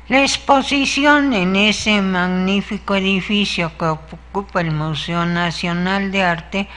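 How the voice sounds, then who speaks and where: An older woman, telling in a slow, measured way how she named her art writing, as a guest on a local interview show. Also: fairly low pitch, a bit bright. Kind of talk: interview talk.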